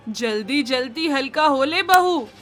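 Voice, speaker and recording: A woman voicing a bossy, proud older village mother in an animated moral story, speaking emphatically. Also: high voice, measured pace, soft background music. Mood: brisk, insistent. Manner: emphatic, scolding, matter-of-fact village talk.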